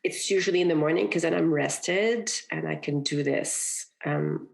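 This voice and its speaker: A woman from Egypt, a guest on a podcast, talking earnestly and reflectively in a relaxed interview, often adding "you know" and "right". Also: low-pitched, moderate pace, vocal fry. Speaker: podcast guest, parenting coach. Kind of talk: conversational interview.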